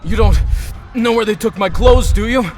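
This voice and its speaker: Man playing a high-voiced, wry young hero. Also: moderate pace, darkish tone, soft background music, lightly acted. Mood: urgent, wry. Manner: wry, sarcastic. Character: injured young male hero, wry and grumbling. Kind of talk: audio drama acting.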